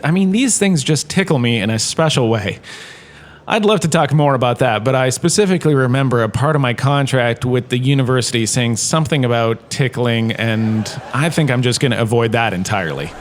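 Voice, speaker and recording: A man's voice: mid-range voice, natural voice. A man playing a vain, washed-up drama star lecturing as a chatty, self-satisfied professor in a comic audio drama.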